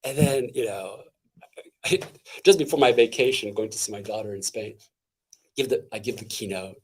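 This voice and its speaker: Energetic high-voiced man, halting restarts. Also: breathy edge, poor audio. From Canada. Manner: animated, halting with many restarts and fillers. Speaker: guest speaker. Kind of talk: casual interview talk.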